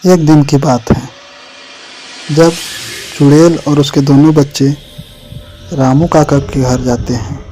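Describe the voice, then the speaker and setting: Slow man narrating horror, higher-pitched voice. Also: fairly even intonation, dark tone, a little hiss or hum, low-quality recording. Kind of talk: scripted horror story narration.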